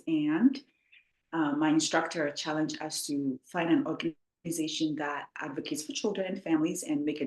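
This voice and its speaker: A woman from Ghana, a guest author, telling her story in an earnest, heartfelt way. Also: sits low. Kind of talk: interview storytelling. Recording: echoey room.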